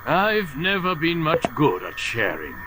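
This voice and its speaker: An aging mentor of assassins in a video game drama, scheming and philosophical. He speaks slowly with wide melodic swings, lecturing with theatrical condescension. A light noise sits behind the voice. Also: on the high side, huge pitch swings. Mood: calm menace. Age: older. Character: calculating old master assassin, philosophical betrayer.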